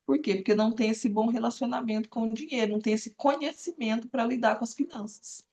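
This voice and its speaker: A woman in her forties, a therapist and guest on a live video call, explaining in an earnest, unhurried way with frequent fillers. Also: a little high, dark tone. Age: 40s. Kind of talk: casual live-stream talk.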